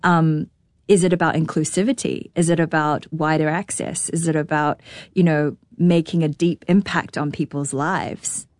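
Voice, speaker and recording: A New Zealand woman in her thirties, a conductor talking in an interview, earnest and searching, often thinking out loud in questions. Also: deep voice, steady tone, moderate pace, no echo, clean studio sound. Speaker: guest, orchestra conductor. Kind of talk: reflective interview conversation.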